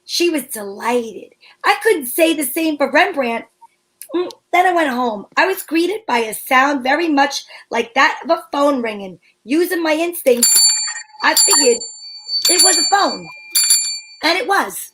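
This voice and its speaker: High-voiced woman, wry and theatrical. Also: lively intonation, measured pace, a bit bright, low-quality recording. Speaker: radio show performer and narrator. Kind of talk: old-time radio detective reading with playful banter.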